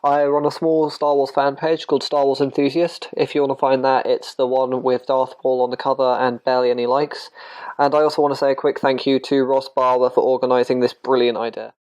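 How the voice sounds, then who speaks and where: Eighteen-year-old Londoner, clear and even voice. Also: male voice, medium pitch, a little fast, poor audio. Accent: London English. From Croydon, England. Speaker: fan page owner. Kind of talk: casual self-introduction.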